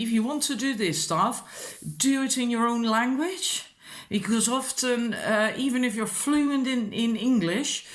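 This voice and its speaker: A woman with a Dutch accent, a native Dutch speaker, giving informal, encouraging spiritual advice as a card reader in a rambling, casual way. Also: fairly high pitch. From Netherlands.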